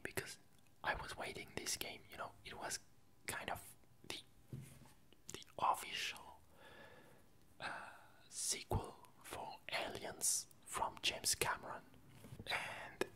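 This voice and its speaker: A French-accented ASMR creator, native in French, speaks in a hushed whisper right up on the microphone. The delivery is slow and hesitant, with drawn-out phrases and a crisp, bright edge. The sex of the speaker is hard to tell from the whisper. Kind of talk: whispered ASMR casual chat.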